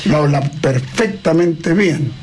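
Chatty radio host. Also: male voice, a little high, slow, darkish tone, husky. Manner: chatty, jokey. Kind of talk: radio interview and segment host.

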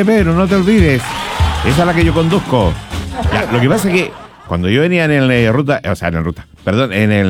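A man with a Chilean accent, a radio host chatting casually and humorously in a rambling, colloquial way. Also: mid-range voice. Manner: rambling, jokey, colloquial, chuckles often. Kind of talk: casual humorous chat, anecdotes and listener greetings. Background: music.

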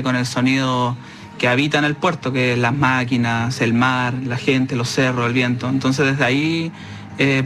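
Reflective Chilean man, halting phrases. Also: medium pitch, fairly bright tone. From San Antonio, Chile. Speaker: musician, interview guest. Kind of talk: casual interview answers.

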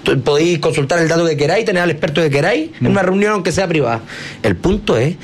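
Fast-talking Chilean radio host. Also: male voice, on the high side, a little hiss or hum. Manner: brisk, insistent, leading the talk. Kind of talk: political radio talk.

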